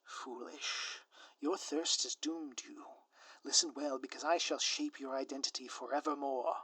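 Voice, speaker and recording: A man's voice: medium pitch, steady tone, measured pace, bright, forceful tone, husky, no room sound. A man playing a grandiose, scheming supervillain in an audio roleplay, with florid, pompous, melodramatic delivery. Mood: gloating. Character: grandiose theatrical male supervillain, lovesick and scheming. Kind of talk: scripted audio roleplay monologue.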